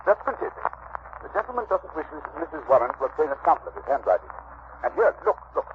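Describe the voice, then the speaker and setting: An actor playing a self-assured, sharp-minded gentleman detective with a British accent, clipped and theatrical, in 1940s radio style. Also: fairly high pitch, huge pitch swings, fast talker, gravelly, exaggerated voice. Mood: keen, assured. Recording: tinny, noisy vintage radio recording.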